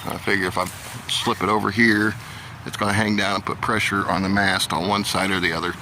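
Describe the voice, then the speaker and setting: American male hobby vlogger, gravelly and fairly low, unhurried DIY walkthrough, noisy outdoor sound. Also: flat delivery, pushed and bright. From Indiana, United States. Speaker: amateur radio hobby vlogger. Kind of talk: casual how-to demonstration.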